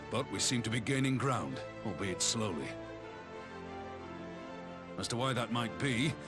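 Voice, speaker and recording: An actor playing a composed, dignified military commander in a fantasy war game, speaking formally and deliberately. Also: male voice, fairly low pitch, close to an everyday voice. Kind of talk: dramatic video game voice acting.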